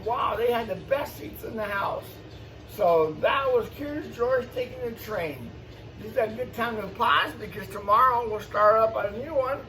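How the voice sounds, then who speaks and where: Guy reading a kids book out loud, explains as he goes, bit far from mic, faint background noise. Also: very high-pitched. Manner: exclamatory, explaining to young listeners. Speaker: storytime host reading to children. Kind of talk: animated picture-book read-aloud with asides.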